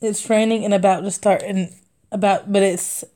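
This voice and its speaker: A woman's voice: medium pitch, steady tone, moderate pace, mellow. A woman in her thirties with a Southern US accent, chatting casually and laughing often as she hosts a live stitching stream and reads out viewer comments. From Southern United States. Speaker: cross-stitch livestream host.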